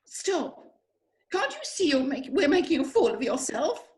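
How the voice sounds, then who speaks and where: A woman playing a passionate aristocratic widow in an amateur play reading, with theatrical, drawn-out delivery. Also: high voice, very animated, darkish tone. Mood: melodramatic. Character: melodramatic aristocratic lady of the manor, passionate widow.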